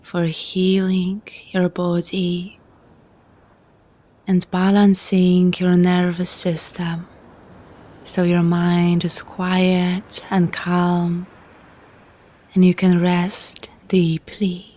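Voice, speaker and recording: A woman guiding a relaxation meditation in a calm, gentle, soothing manner, leaving long pauses between phrases. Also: fairly low pitch. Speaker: yoga nidra guide.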